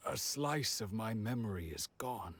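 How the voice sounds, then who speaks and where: A man's voice: lowish voice, expressive, breathy edge, heavily acted. An actor playing a weary, forgetful man who has lost his memory in a video game, hesitant and resigned. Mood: resigned, hazy. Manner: hesitant, resigned, slow to recall. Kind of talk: video game character acting.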